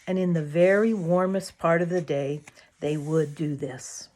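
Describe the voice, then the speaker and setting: A woman reading a children's story aloud in a deliberate, expressive storytelling manner. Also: low-pitched. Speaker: storybook reader.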